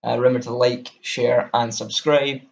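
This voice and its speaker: Gravelly Scottish young man, lo-fi sound. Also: mid-range voice, little pitch movement, normal speed. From Scotland. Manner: enthusiastic, rambling with restarts. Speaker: music vlogger. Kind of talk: casual album review to camera.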